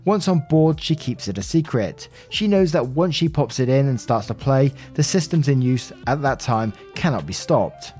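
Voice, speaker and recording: A man reading a written story aloud as a podcast host, with expressive delivery and brief asides. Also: mid-range voice, moderate pace, hardly any pauses, soft background music.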